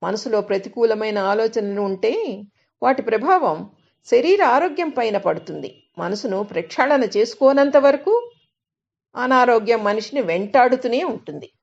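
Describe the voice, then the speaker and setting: A woman reading a scripted reflective essay aloud in a measured, instructive manner. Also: fairly high pitch, animated, creaky. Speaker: reader of articles and book excerpts. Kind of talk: scripted reading of a spiritual self-help essay. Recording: dull, lo-fi sound.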